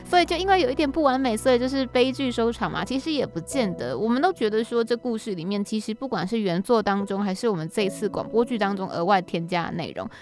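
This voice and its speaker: A woman's voice: fairly high pitch, fast talker, few pauses, darkish tone, faint music behind. A woman with a Taiwanese Mandarin accent, hosting a podcast conversationally. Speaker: podcast host and scriptwriter.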